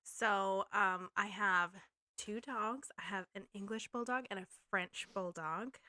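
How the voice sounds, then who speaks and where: A woman's voice: mid-range voice, unhurried, pushed and bright, no room sound, clean studio sound. A woman in her thirties from Maine with a slight Maine accent, co-hosting a podcast in a chatty, filler-heavy way. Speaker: podcast co-host, real estate agent. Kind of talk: casual chat.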